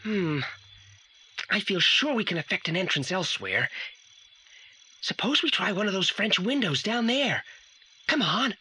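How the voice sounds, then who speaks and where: A man's voice: sits high, very animated, measured pace, long pauses, bright, forceful tone, exaggerated voice. A precocious boy detective in an old audio play. He speaks formally and pedantically with complete self-assurance, over a faint layer of noise. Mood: hushed, purposeful. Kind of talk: 1980s audio drama acting. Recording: slightly noisy old audio play transfer.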